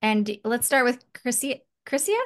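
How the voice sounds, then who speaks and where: A woman's voice: a little high, moderate pace. A woman in her thirties hosting a podcast interview over a video call, chatty and friendly, often restarting her sentences. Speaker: host.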